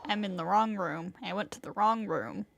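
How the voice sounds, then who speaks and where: A woman's voice: medium pitch, moderate pace, gravelly, fairly bright tone, a little hiss or hum. A woman game streamer commenting casually and reading game lines aloud. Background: faint game music.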